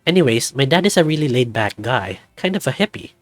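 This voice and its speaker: A man's voice: medium pitch, lively intonation, brisk, no room sound, faint music behind, lightly acted. A man playing an easygoing, polite and earnest young man on holiday, with a casual, mild way of talking. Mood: relaxed, earnest.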